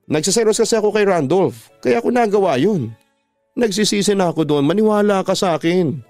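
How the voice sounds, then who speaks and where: A man's voice: sits high, lively intonation, brisk, darkish tone, no echo, soft background music, voice pushed up. A Filipino man voicing a possessive, manipulative ex-boyfriend in a radio drama, insistent in his delivery. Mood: pleading. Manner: pleading turning insistent and threatening.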